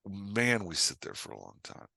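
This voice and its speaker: A man's voice: deep voice, wildly swooping pitch, normal speed, vocal fry, pushed and bright, dry recording, clean studio sound. A man chatting casually as a podcast guest and writing coach, emphatic, often repeating his phrases and acting out imagined remarks. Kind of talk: casual interview chat.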